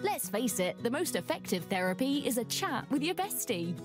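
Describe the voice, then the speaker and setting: A woman's voice: on the high side, very animated, normal speed. A British woman reading a scripted introduction for a show segment. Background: music.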